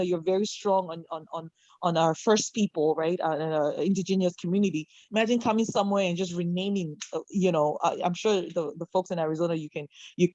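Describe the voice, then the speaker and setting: A woman's voice: fairly low pitch, darkish tone, no room sound, dull audio. A woman from Cameroon speaking on a video call panel, impassioned, full of fillers, restarts and rhetorical questions.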